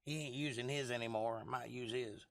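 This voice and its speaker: A man with a hoarse, froggy voice hosting a casual livestream, rambling and joking at his own expense as he replies to viewers. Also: mid-range voice, fairly even intonation, brisk, fairly bright tone, dry recording. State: lost voice for months, throat clearing. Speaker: livestream host.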